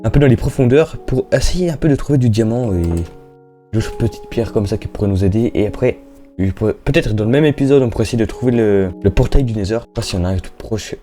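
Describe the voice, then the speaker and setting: A man's voice: mid-range voice, fast talker, dark tone, soft background music. A young man giving casual, slangy Minecraft let's-play commentary, chatting and thinking aloud as he plays. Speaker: gaming YouTuber.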